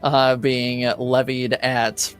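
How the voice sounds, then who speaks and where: A man running a tabletop roleplaying game as game master, narrating in a halting way as he pieces scenes together. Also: mid-range voice, flat delivery, smooth, faint music behind.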